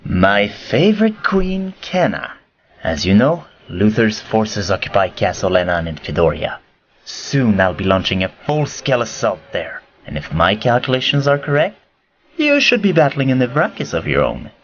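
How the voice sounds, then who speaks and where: Man doing a flirty swaggering king, lively sing-song voice, dull lo-fi sound, faint hiss. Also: lowish voice, normal speed. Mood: teasing. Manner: playful, teasing. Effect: magic orb message.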